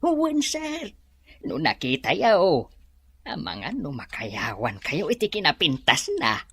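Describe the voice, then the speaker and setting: A woman's voice: low-pitched, huge pitch swings, a little slow, fairly bright tone, full character voice. A woman playing a cheeky, nervous farm underling in an Ilocano audio drama, with an Ilocano accent. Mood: excited.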